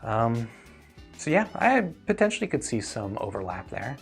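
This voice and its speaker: A man hosts a relaxed, conversational livestream in a medium-pitched voice. He talks in a thoughtful, explanatory way, qualifying his opinions as he answers. Music plays faintly behind him. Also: normal speed, vocal fry. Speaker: host reviewing audio gear and answering viewer questions. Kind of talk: casual livestream Q&A commentary.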